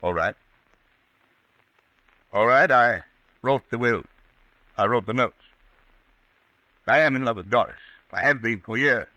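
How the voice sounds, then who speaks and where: Tense 1940s radio mystery character: sharp tone, dramatic, emphatic, dry studio sound. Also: male voice, medium pitch, a little slow, long pauses, dry recording, highs cut off. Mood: confessing. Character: tense, forceful man in a murder-mystery showdown.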